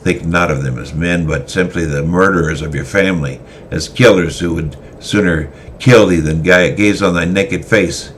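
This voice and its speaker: A man's voice: sits low, moderate pace, few pauses, fairly bright tone, slight background noise, rough recording. A man voicing a cold, callous, battle-hardened male swordmaster with a commanding manner. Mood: stern, commanding. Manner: commanding, stern.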